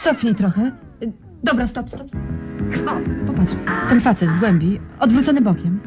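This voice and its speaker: An actress playing a determined television journalist in a radio drama, lively and stubborn in her retorts. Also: on the high side, normal speed, lightly acted. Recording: radio play with music underneath.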